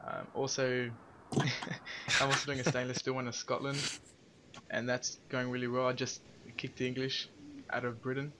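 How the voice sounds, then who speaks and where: A man's voice: medium pitch, little pitch movement, normal speed, pauses often, background noise. A man hosting a casual online podcast, laid-back and full of fillers, laughing now and then. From Australia. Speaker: podcast host. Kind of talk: casual group chat.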